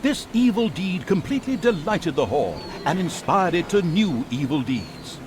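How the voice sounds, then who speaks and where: A man's voice: a little high, very animated, moderate pace, background noise. A man giving wry, mock-grandiose, theatrical narration for a comedic fantasy game. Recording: music behind the voice. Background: music.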